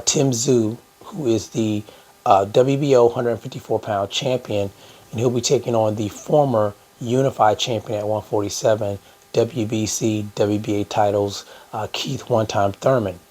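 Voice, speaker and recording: A hoarse man hosting a boxing news channel, talking casually to his viewers with lots of fillers. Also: on the low side, a little mellow. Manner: conversational, many "uh" fillers, addresses "guys". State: hoarse from a cold. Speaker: boxing YouTube host.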